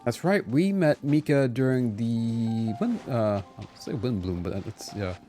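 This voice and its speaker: A man's voice: medium pitch, animated, a little slow, warm tone. A man chatting casually and playfully with viewers as he streams a game, often exclaiming, with game music behind him. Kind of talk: casual live gameplay chat with viewers.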